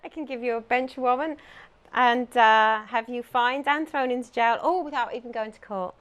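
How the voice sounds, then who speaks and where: A woman's voice: a little high, moderate pace, a bit bright, clear, resonant tone, lo-fi sound. English woman with a dry wit. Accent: English, southern England. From England. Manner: dry humor, short answers, laughs at own jokes. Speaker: guest, ghost walk volunteer. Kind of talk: casual interview chat. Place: local TV studio.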